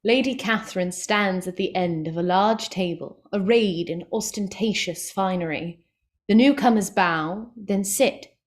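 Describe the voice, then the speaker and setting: A woman giving scripted narration, reading out the stage directions of a play at a medium pitch. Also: measured pace.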